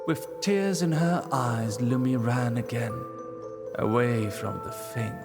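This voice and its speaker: A man narrates a fantasy tale in a solemn, dramatic way. His delivery is slow with a creaky, gravelly grain, and music plays prominently underneath. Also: mid-range voice.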